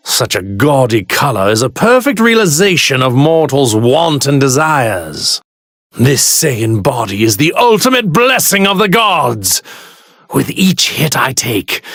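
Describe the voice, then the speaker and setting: Haughty villainous god, swooping delivery. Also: male voice, on the high side, a bit bright, no room sound, studio quality, heavily acted. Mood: smug contempt. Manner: arrogant, disdainful, grandly formal. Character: haughty villainous god in a stolen warrior body. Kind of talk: theatrical anime fighting game voice lines.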